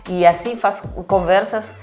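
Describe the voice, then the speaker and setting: A woman's voice: medium pitch, steady tone, moderate pace, darkish tone, soft background music. A woman from Peru speaking Portuguese with a Spanish accent, explaining things conversationally as an interviewed missionary and often correcting herself. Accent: Spanish-accented Portuguese, Peruvian.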